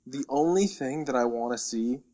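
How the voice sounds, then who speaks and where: A man's voice: medium pitch, moderate pace, mellow. A man co-hosting a casual podcast about games and films, talking informally and tentatively as he thinks aloud. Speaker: podcast co-host.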